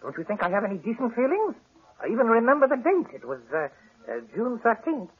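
A man's voice: very high voice, big pitch swings, quick pace. An actor playing a querulous, blunt old man in a 1940s radio drama. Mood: testy. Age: older. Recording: tinny, noisy old radio recording.

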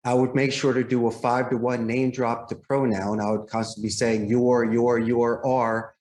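A man's voice: mid-range voice, flat delivery, normal speed, darkish tone. A man giving polished, articulate explanations as a guest in an interview. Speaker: podcast guest, call center owner and trainer. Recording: roomy, echoing sound.

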